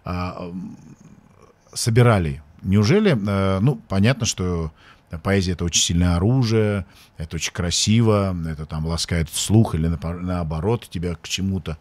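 Deep voiced guy asking questions, lots of ums and stops. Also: darkish tone, no room sound. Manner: hesitant, halting questions with fillers. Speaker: interviewer. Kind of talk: interview questions.

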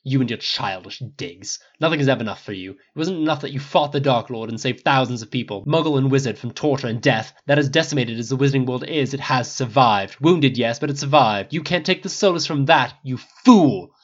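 A man's voice: medium pitch, a little fast, hardly any pauses, muffled recording, natural voice. A man voicing a bitter, menacing older wizard with cutting, formal, sarcastic diction in a dramatic story reading. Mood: cold scorn.

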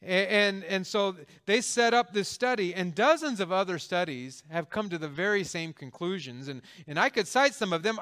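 A man's voice: high voice, fairly bright tone, clear, resonant tone. A man in his fifties, a pastor delivering a conversational sermon with jokes and emphatic stresses. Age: 50s.

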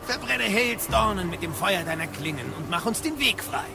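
An actor playing a sardonic, talkative guide in an audio drama, explaining things in a wry, gravelly voice. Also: male voice, sits high, expressive, normal speed, over loud music. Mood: instructing.

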